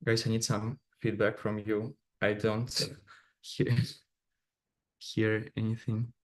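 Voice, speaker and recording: A man with a Russian accent gives a remote conference presentation in English over a video call. His delivery is halting, full of fillers and restarts, and his pitch stays level and even throughout. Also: rough recording. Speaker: conference speaker.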